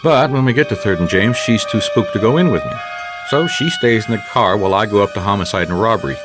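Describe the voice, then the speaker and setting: An actor playing a weary, wisecracking private investigator in a radio detective drama, with wry, exasperated deadpan delivery. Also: male voice, lowish voice, quick pace, music in the background, natural voice. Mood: wry narration. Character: weary, wisecracking male private investigator narrating his case.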